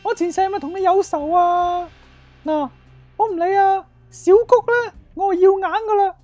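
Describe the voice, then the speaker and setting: A woman's voice: very high-pitched, fairly even intonation, normal speed, darkish tone, dry recording, soft background music. A woman with a Hong Kong accent playing a belligerent, lovestruck male rival gangster who blusters and complains in a comic radio drama. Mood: aggrieved, belligerent.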